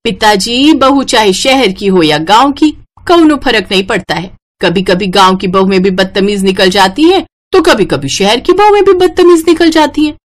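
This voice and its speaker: A woman's voice: medium pitch, expressive, moderate pace, few pauses, lightly acted. A woman voicing a simple, cheerful young village bride in a story, polite and earnest, with a rustic way of speaking.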